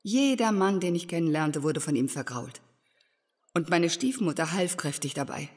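An actress playing a bitter, resolute young heiress, speaking earnestly in a German audio drama. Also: female voice, lowish voice, lively intonation, moderate pace, a little mellow, breathy edge. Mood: aggrieved, recounting. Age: young adult. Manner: earnest, embittered storytelling.

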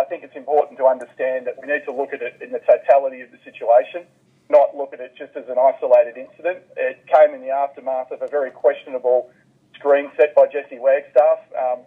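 Over a narrow telephone line, a male commentator gives careful, measured analysis. His voice is husky and mid-pitched, and he qualifies his points as he goes. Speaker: basketball commentator, interview guest. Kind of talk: phone interview analysis.

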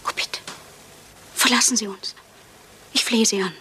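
Distraught pleading wife, hissy. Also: female voice, a little high, fairly even intonation, a little slow, bright, forceful tone. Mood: desperate, pleading. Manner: pleading, anxious. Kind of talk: German radio crime drama acting. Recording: noisy, hissy old radio play recording.

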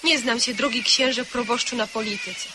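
A woman's voice: fairly high pitch, moderate pace, background noise, close to an everyday voice. An actress playing an earnest female welfare inspector in a radio play, pointed and sincere. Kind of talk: 1970s radio drama acting.

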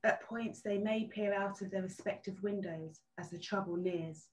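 A woman's voice: mid-range voice, moderate pace. A woman reading scripted stage directions for a play over a video call. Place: indoor room, video call. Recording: roomy, distant video-call audio.

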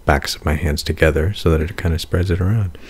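A man's voice: a bass voice, fairly even intonation, quick pace, husky. A man co-hosting a podcast in casual, flirty ASMR chat, teasing and joking, close to the microphone. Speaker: podcast co-host.

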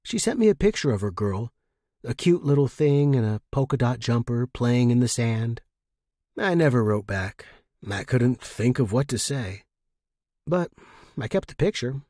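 A man narrating a story as a podcast host, with a husky voice and an expressive delivery. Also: mid-range voice, unhurried, no room sound, studio quality. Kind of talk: expressive first-person audiobook-style story narration.

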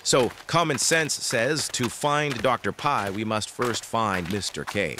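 A man's voice: medium pitch, measured pace, background noise, lightly acted. An actor playing an earnest, resolute father in an audio drama. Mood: reassuring. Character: earnest, resolute father leading his young son.

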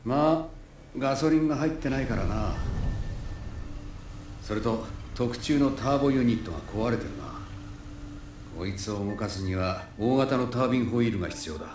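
A man voicing a gruff, world-weary partner in a video game, with blunt, rough, terse speech. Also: mid-range voice, moderate pace, a little hiss or hum, natural voice. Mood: matter-of-fact. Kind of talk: cinematic video game voice acting. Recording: echoing voice under loud music.

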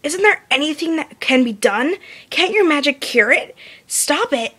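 A woman's voice: sits high, expressive, a little slow, sharp tone, voice pushed up, heavily acted. A woman voicing a brash, emotional female pegasus pony with urgent, faltering delivery in a story reading. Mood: desperate.

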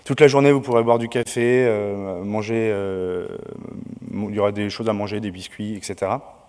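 A man's voice: lowish voice, fairly even intonation, moderate pace, darkish tone. Hesitant man announcing in a big hall. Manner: hesitant, many fillers. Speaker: conference organizer. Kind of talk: opening announcements. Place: large lecture hall. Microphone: podium mic. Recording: reverberant hall.

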